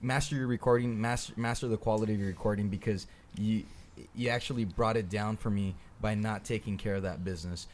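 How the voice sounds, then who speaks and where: Man, panelist, low-pitched, earnest, measured, faint background noise. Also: fairly even intonation. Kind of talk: casual music critique.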